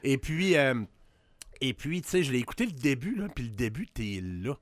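A man in his fifties with a Québécois accent, reminiscing in a halting, reflective way during a casual podcast chat. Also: mid-range voice, very animated, no room sound. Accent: Québécois French. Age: 50s. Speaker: musician guest.

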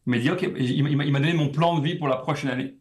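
A man's voice: a little high, fast. A young man from France, a comedian guest, speaking French with a slight Québécois lean, talking animatedly and often repeating words as he thinks. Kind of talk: casual interview chat.

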